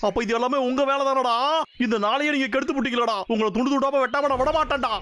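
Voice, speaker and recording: An actor voicing a hot-tempered, bungling cartoon logger who rants and threatens in exasperation, in a dubbed comedy. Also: male voice, extremely high pitch, fairly even intonation, fast talker, slight background noise. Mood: furious, threatening. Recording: loud cartoon music behind the voice. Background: loud music.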